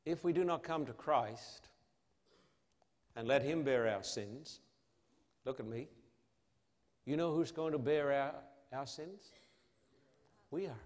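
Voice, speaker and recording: A man preaching an evangelistic sermon in a solemn, emphatic manner, with dramatic pauses and rhetorical questions. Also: medium pitch, a little mellow. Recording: long hall reverb.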